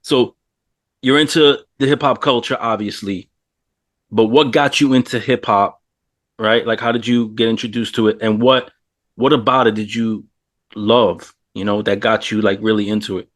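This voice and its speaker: New york guy hosting, kinda low voice, dry close sound, swears casually. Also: fairly even intonation, normal speed, a bit bright, no echo. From New York City. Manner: casual, swears, laughs often. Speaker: host. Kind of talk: casual interview.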